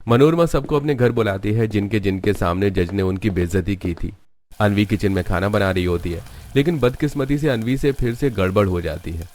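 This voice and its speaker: A man's voice: lowish voice, a little fast, darkish tone, no echo. A man reading scripted narration for a cartoon story as its narrator.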